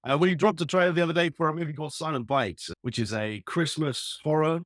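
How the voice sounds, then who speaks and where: A man's voice: a little high, quick pace, fairly bright tone, no echo, studio quality. A man from Ireland, raised in London, talking as an interview guest about his acting work, easygoing and often restarting his sentences. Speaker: actor and writer guest. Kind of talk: casual interview chat.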